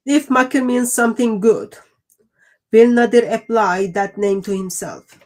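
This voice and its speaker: A woman moderating a live debate Q&A, reading out questions in a measured, polite way. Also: fairly high pitch, steady tone, a little mellow.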